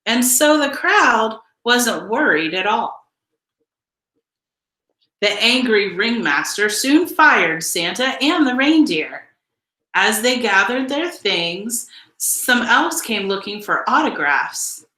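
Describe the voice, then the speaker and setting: A woman reading a children's picture book aloud in an expressive, deliberate storytelling style. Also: a little high, echoey room, rough recording. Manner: measured, deliberate storytelling delivery with emphasized phrases. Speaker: storybook reader.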